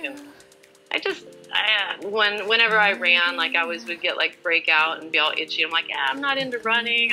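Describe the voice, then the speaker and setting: Woman, forties, sharp bright voice, casual interview talk, chatty, self-deprecating, music in the background. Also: low voice, low-quality recording. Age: 40s. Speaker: interview guest.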